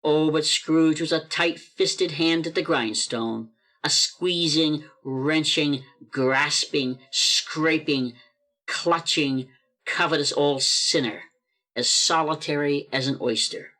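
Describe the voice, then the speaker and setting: A narrator telling a classic story for a radio play, with deliberate, emphatic and dramatic phrasing. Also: medium pitch, bright, forceful tone. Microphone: video call microphone.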